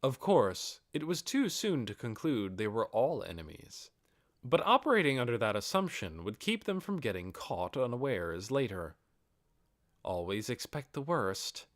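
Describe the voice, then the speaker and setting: A man's voice: medium pitch, big pitch swings, a little slow. A man reading scripted fantasy narration as an audiobook narrator.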